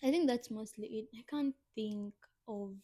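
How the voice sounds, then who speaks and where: A woman's voice: a little high, normal speed, mellow, no room sound. A young woman co-hosting a casual podcast chat, reading prompts aloud and laughing often. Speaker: podcast co-host.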